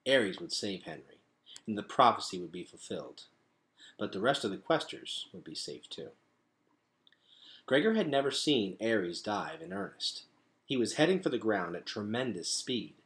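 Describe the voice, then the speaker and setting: A man's voice: fairly low pitch, big pitch swings, moderate pace, fairly bright tone. A man reading a storybook aloud as a storyteller, with dramatic, emphatic phrasing.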